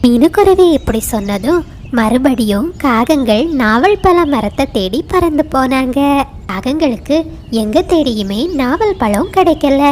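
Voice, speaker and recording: A woman narrates a scripted story for children. Her voice is high in pitch, in a storyteller's delivery. Slight noise can be heard in the background. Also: a little mellow.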